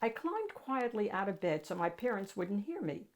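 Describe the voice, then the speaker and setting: A woman reading a picture book aloud to children as host, in an animated, dramatic storytelling style. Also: medium pitch, moderate pace.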